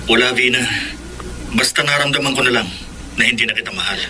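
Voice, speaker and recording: A man's voice: medium pitch, fast, bright, forceful tone. A man acting a cold, manipulative young boyfriend in a radio drama, calculating, with a Filipino accent. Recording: clearly noisy radio drama audio.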